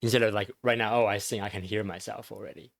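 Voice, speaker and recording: Brief: male podcast host, very low voice, dry close sound, searching questions. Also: fairly even intonation, creaky, fairly bright tone, dry recording. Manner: thoughtful, halting questions with restarts. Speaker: interviewer. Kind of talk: casual interview.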